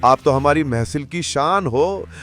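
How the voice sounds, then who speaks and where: A man's voice: fairly high pitch, lively intonation, unhurried, a bit bright, faint music behind. A man voicing a smug young man in an audio drama, taunting his brother-in-law with theatrical, syrupy sweetness.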